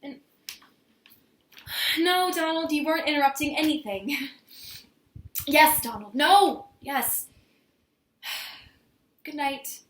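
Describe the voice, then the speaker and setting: A woman performing a stage monologue as an earnest, flustered young woman in love, speaking haltingly with stammers. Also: high-pitched, a bit bright. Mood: weary, exasperated. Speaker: amateur actor performing a monologue. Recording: echoey room with faint noise.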